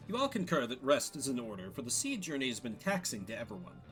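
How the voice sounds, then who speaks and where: Animated man narrating a video game. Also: mid-range voice, quick pace, faint music behind. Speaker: streamer playing and voicing a story game. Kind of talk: storybook-like game narration with casual asides.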